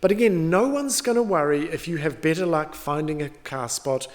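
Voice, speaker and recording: A man's voice: on the high side, moderate pace. A middle-aged man, a pastor giving a sermon in a conversational, storytelling way. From New Zealand.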